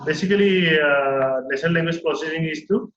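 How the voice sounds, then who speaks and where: A man's voice: fairly high pitch, brisk, fairly bright tone. A man talking shop as an instructor, hesitant and full of fillers. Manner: hesitant, many fillers and restarts. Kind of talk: casual technical conversation. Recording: roomy, dull lo-fi recording.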